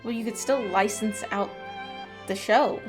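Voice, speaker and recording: A woman's voice: mid-range voice, measured pace, music in the background. A woman gaming streamer giving casual playthrough commentary and reading out dialogue choices.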